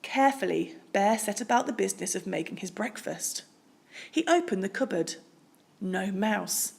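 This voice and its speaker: A woman reading a children's storybook aloud in expressive narration with deliberate, dramatic phrasing. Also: medium pitch, vocal fry. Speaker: storybook reader.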